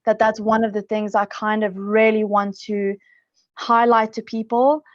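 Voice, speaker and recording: A woman's voice: mid-range voice, little pitch movement, measured pace, dry recording. A woman from South Africa, a psychologist guest on a podcast, talking in a chatty, upbeat way with lots of fillers. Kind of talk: casual interview talk. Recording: dull lo-fi dry sound.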